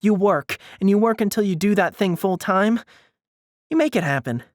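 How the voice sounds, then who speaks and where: Man voicing a sassy, pushy young woman, pitched up. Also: lively intonation, normal speed, dry recording, studio quality. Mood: forceful, urging. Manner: blunt, insistent. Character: sassy, pushy young woman encouraging her hesitant friend. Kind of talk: dramatised audiobook dialogue.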